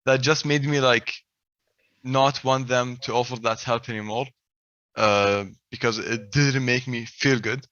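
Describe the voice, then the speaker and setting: A young man with a heavy stutter gives a personal talk and repeats the first sounds of many words. His voice is bright and forceful. The recording is dry and a little dull. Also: medium pitch, steady tone, measured pace, no room sound. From Saudi Arabia. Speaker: speaker at a stuttering event.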